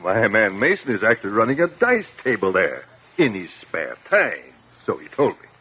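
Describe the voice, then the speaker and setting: An actor playing a stern, stubborn judge with an emphatic, brisk manner in a 1950s radio drama. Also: male voice, lowish voice, sing-song, husky. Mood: determined. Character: stern, stubborn judge, quick to get worked up. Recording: muffled 1950 radio broadcast.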